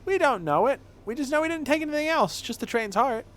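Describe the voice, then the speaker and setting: A man's voice: very high voice, expressive, quick pace, fairly bright tone, slight background noise, voice pushed up. A man voicing a blunt, stubborn, playful child in a video game, dismissive and defiant.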